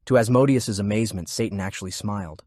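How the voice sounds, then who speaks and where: A man reading scripted fiction narration in a measured, deliberate way. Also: fairly low pitch, darkish tone, no room sound, clean studio sound. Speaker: audiobook narrator. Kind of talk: scripted narration of a fantasy war novel.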